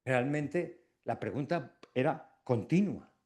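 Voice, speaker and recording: A middle-aged man from Extremadura giving a formal academic induction speech, reflective, with pauses between phrases. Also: medium pitch, lively intonation, darkish tone. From Extremadura, Spain.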